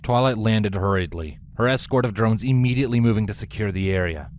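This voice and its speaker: Low-voiced man narrating a story. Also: fairly quick, a little mellow, a little hiss or hum. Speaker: audiobook-style narrator.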